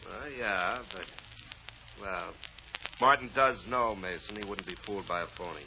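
A gruff police lieutenant in an old radio drama, curt and no-nonsense. The recording is dull and clearly noisy. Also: male voice, low-pitched, moderate pace. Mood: brisk.

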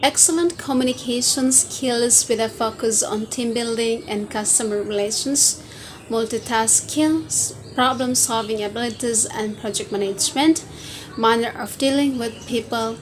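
A woman's voice: fairly high pitch, unhurried, a little hiss or hum, low-quality recording. A young woman in her twenties, a native Indonesian speaker with an Indonesian accent, presenting herself for a video CV in a careful, rehearsed, list-like way, recorded on a lapel mic in an open-air wooden pavilion. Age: 20s. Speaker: job-seeking student. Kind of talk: recited self-presentation for a video CV.

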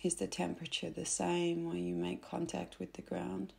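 A woman guiding a meditation in a soft, gentle, unhurried way, with phrase endings that turn breathy. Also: fairly low pitch, monotone. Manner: gentle, unhurried, instructing softly with pauses. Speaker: meditation guide. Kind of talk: soothing guided meditation narration.

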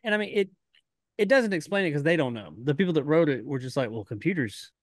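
A man's voice: fairly high pitch, lively intonation, quick pace, dry recording. Laid-back rambling man, dry mic. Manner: rambling, laughs often, swears casually. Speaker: podcast co-host. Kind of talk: casual podcast chat.

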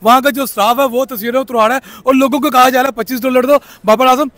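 A man's voice: extremely high pitch, fairly even intonation, fast, fairly bright tone, no echo. A young man ranting heatedly in a street vox pop at night, talking into a handheld mic. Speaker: interviewee. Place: city street at night, outdoors.

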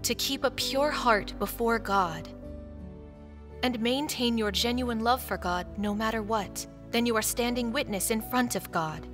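A woman's voice: fairly high pitch, measured pace, natural voice. A woman acting a devout, steadfast middle-aged mother in a stage drama, speaking with earnest conviction. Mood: solemn, reverent. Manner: earnest, pleading, preaching. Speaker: stage actress. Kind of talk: earnest stage play acting, English dub. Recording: reverberant stage hall, music behind.

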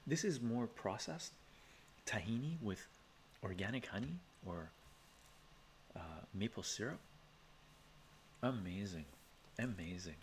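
A middle-aged man from Canada hosting a relaxed ASMR live stream from his home study, chatting softly, chuckling, often adding "right?". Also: lowish voice, slow pace, long pauses, slight background noise. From Canada, of Armenian descent from Iran. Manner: soft-spoken, chuckling, chatty asides with frequent "right?". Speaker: live-stream host reading chat. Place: home study lined with bookshelves. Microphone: webcam framing at desk.

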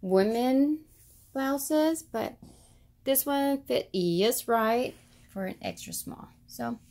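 A woman's voice: on the high side, lively intonation, slow, darkish tone. A woman casually showing items for a vlog, talking in a hesitant, rambling way in a carpeted room at home. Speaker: shopping haul vlogger. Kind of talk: casual narrated show-and-tell.

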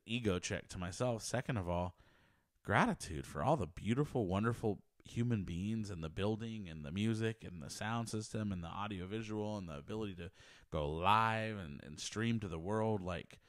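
A man with a very deep, low voice talks earnestly into a handheld mic held close to his mouth. He rambles a little, repeats himself and restarts sentences as he shares his thoughts. The sound is dry and close, with almost no room tone. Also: moderate pace, no room sound. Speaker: podcast host. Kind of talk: personal faith podcast monologue. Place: small home office. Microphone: handheld dynamic mic close to mouth.

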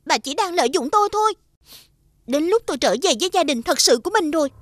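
A woman playing a sweet, earnest young heroine in an animated fairy tale. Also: extremely high pitch, quick pace. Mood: resolute.

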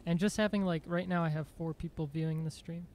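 A man streaming a game, chatting casually in a dry, monotone voice, rambling with fillers and self-corrections. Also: fairly high pitch, fairly quick, slight background noise. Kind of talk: casual live-stream chat.

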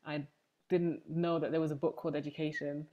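Young woman, English accent, low register, interview guest speaking conversationally about herself on a video call. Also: flat delivery, brisk, dark tone, muffled recording. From Manchester, England. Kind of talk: conversational personal testimony. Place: room at home, indoors, on a video call. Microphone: wired earphones on a video call.

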